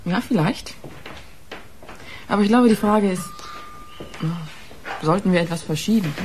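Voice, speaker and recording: An actress as a guarded young woman in mourning, in a radio crime play. Her voice is dark and soft-edged, hesitant, with faint noise behind it. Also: mid-range voice, measured pace, close to an everyday voice. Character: guarded, grieving sister of a dead policeman. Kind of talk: naturalistic radio play acting.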